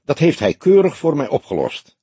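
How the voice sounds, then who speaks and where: A man's voice: a little high, huge pitch swings, moderate pace, warm tone, husky, dry recording, pitched above the performer's own voice, exaggerated voice. A man voicing a young male detective sidekick, restless and questioning, in an audiobook. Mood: eager, pondering.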